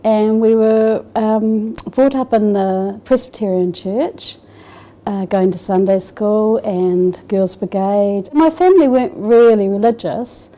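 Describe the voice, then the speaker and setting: A middle-aged woman with a New Zealand accent tells her own story in an unscripted, halting way, often pausing on fillers and restarting her sentences. Her voice is mellow and warm rather than bright, at an ordinary pitch for a woman. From Scotland, raised in New Zealand. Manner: hesitant, many fillers and restarts. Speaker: interviewee. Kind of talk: personal testimony.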